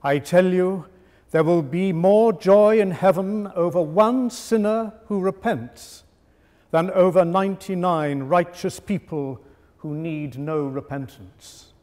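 A man giving a formal scripture reading at a choral evensong, with a measured, solemn delivery. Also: sits high, darkish tone. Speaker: lesson reader. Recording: long reverb of a chapel.

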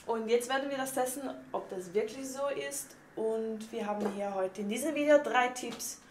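A young woman with a Swiss German accent talks through her tips in a loose, chatty way, padding her sentences with fillers. She is recorded in a small tiled bathroom that rings with echo, with a faint hiss underneath. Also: fairly high pitch. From Switzerland. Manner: chatty and unpolished, with many fillers like "und so". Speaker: vlogger. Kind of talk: casual beauty tips vlog. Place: home bathroom, indoors. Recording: echoey bathroom with faint noise.

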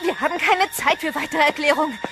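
Actress as agitated high-voiced woman. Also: fast, over loud music. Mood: urgent. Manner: agitated, emotional. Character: anxious, urgent woman trapped in a haunted hotel. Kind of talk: German horror audio drama acting.

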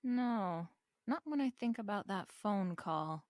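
A woman's voice: medium pitch, lively intonation, slow pace, darkish tone, no room sound, clean studio sound. An actress playing a wry, confident female private detective in a radio drama, with a breezy, slangy, wisecracking delivery.

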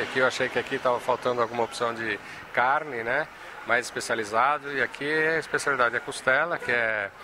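A Brazilian man in his fifties giving an informal promotional interview as a restaurant owner. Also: medium pitch. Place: large restaurant room. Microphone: handheld interview microphone. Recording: noisy restaurant ambience.

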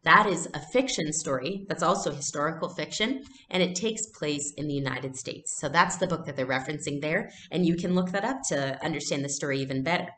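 Low-pitched young woman teaching a read-aloud. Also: a little fast, few pauses, fairly bright tone, echoey room, a little hiss or hum. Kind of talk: read-aloud lesson, explaining and narrating. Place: small furnished room at home.

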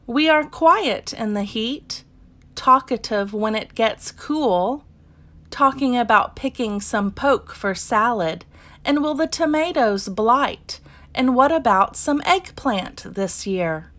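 A woman reading a children's picture book aloud as scripted narration, in measured, deliberate phrases with pauses. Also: a little high, animated, a bit bright. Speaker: storybook reader.

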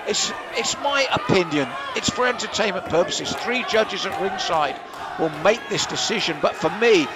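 A man calls a kickboxing fight live from ringside, picking apart the styles and weighing in with his own opinion. His voice sits a little high. A loud crowd and constant venue noise fill the background. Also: animated, moderate pace. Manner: analytical, gives personal opinions on the scoring. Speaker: ringside commentator.

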